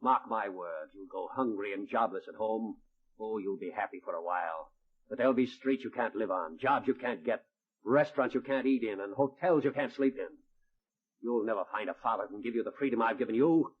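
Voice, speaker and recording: A man acting a bitter, wealthy, domineering father in a radio drama, scornful and forceful, with a husky voice. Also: fairly low pitch, a little fast. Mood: cursing, bitter. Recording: muffled old-time radio recording.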